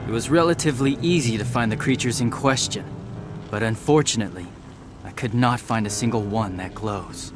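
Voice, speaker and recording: A man's voice: medium pitch, fairly quick, over music, lightly acted. An actor playing an obedient, deferential henchman reporting to his lord in a video game. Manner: deferential, formal. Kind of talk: scripted video game cutscene acting.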